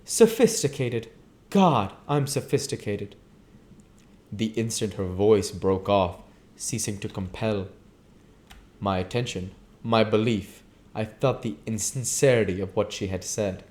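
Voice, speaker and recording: A man with a fairly low voice reads a novel aloud. His delivery is measured and literary, like an amateur audiobook narrator who hosts his own readings. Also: steady tone, a little mellow. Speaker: reader and channel host.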